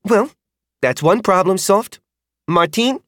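A man's voice: high voice, no echo, clean studio sound, voice pushed up, full character voice. A man voicing a young female dragon rider who is flustered but kind, talking anxiously and apologetically in an audiobook. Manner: anxious, apologetic, quickly talking herself calm. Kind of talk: audiobook character voice.

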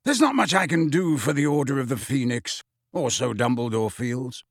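A grim, cooped-up man in an audiobook, as a male narrator plays him. He sounds bitter and sarcastic, with a husky voice and animated swings of pitch. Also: brisk, no room sound, studio quality. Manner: sarcastic, colloquial.

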